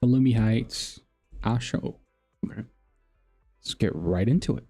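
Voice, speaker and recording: A man's voice: lowish voice, a little slow, creaky, warm tone, faint music behind. A man live-streaming a game, commenting casually and reading game text aloud.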